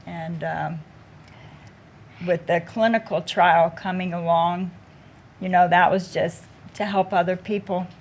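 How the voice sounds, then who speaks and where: Slow, gravelly woman telling her story. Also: on the low side, fairly even intonation. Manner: slow, halting, with many fillers. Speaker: patient panelist. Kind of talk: panel discussion, personal story.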